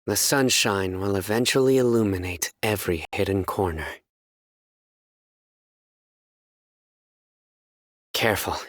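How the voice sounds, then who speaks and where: An actor playing a solemn, stern young enforcer of justice in a video game, speaking in a measured, formal, deadpan way. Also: male voice, sits low, dry recording, lightly acted. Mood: calm, watchful. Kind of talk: scripted video game character voice lines.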